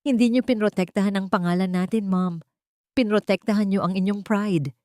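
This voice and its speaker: A woman's voice: medium pitch, fairly even intonation, a little fast, mellow, no echo, clean studio sound, voice pushed up, lightly acted. A woman voicing a bitter, resigned adult son in an audiobook drama, weary and reproachful.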